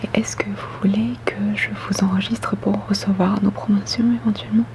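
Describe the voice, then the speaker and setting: A woman whispering softly and breathily in an ASMR roleplay as a polite, attentive boutique saleswoman, gentle and unhurried. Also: mid-range voice, flat delivery, noisy background. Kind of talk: ASMR roleplay, customer service.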